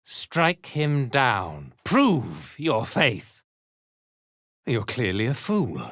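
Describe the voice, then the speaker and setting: A man's voice: medium pitch, a bit bright, slightly rough, dry recording, studio quality, full character voice. A man playing an arrogant, cruel drow zealot commander in a fantasy video game, pompous and sneering with disdain. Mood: cold contempt.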